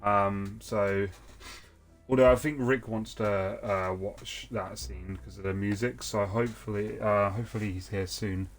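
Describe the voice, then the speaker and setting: A man's voice: on the low side, steady tone, measured pace. A young man with a cold chatting loosely while he plays a video game, rambling with lots of fillers and restarts. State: has a cold. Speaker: gaming streamer. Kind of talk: casual let's-play chat.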